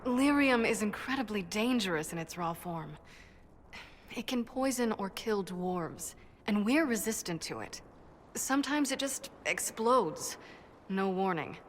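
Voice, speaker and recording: A woman's voice: medium pitch, lively intonation, unhurried, natural voice. A woman playing a brash, defensive female dwarf inventor in a video game, blunt and wry. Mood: impatient, matter-of-fact. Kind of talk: video game cutscene acting. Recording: echoey cavern reverb, background noise.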